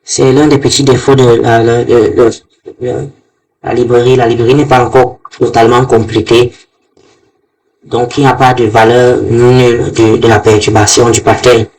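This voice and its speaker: A man giving an unscripted step-by-step software tutorial, halting and often repeating his words. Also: medium pitch, flat delivery, low-quality recording. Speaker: programming tutorial presenter. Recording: loud music behind the voice. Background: music.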